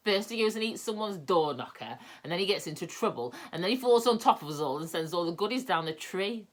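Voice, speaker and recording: A woman's voice: fairly low pitch, expressive, brisk, natural voice. A woman voicing a timid, gloomy magical man in a children's story, whiny and tearful. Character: timid gloomy male magical tree-dweller.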